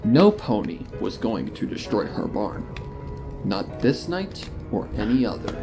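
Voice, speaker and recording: Animated male narrator of a dark story. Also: on the low side, a little slow, music in the background. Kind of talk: dramatic scripted narration of a dark fan story.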